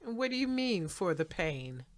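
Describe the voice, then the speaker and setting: A woman's voice: lowish voice, very animated, unhurried, no echo. An actress playing a defensive, articulate woman writer in an audio drama, with emphatic, exasperated delivery. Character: defensive, articulate female writer of women's erotica. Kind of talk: stage-style audio drama acting.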